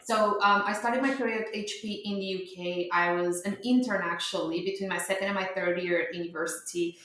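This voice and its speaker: A woman's voice: mid-range voice, steady tone, fairly quick, fairly bright tone. Romanian-born woman in an echoey room. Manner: chatty, many fillers and restarts. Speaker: startup founder guest. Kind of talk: conversational interview answers.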